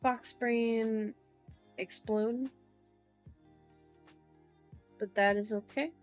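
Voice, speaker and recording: A woman's voice: on the high side, fairly even intonation, drawn-out words, soft background music. A sleepy woman hosting an ASMR stream, chatting casually to viewers in a cutesy, playful, rambling way.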